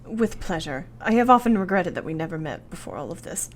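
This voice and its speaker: A woman's voice: on the low side, expressive, brisk. A woman acting an earnest, anxious young Victorian wife in a radio play, devoted and thoughtful, with formal, precise period phrasing. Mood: warm, grateful.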